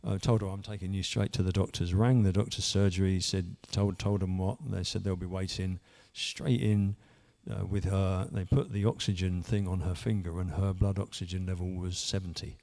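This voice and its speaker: A man's voice: sits low, steady tone, normal speed, vocal fry, warm tone. A man, earnestly telling his own story in a rambling way, full of restarts. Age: 50s. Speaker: church member sharing testimony. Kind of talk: personal testimony.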